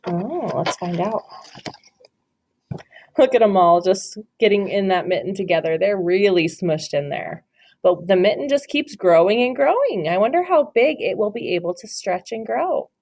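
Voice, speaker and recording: A woman reads a picture book to young children in a very lively, sing-song voice that swoops up and down. Her pitch sits in a middling range. She keeps stopping to ask encouraging questions about the pictures. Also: moderate pace. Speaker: teacher reading aloud. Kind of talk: children's read-aloud.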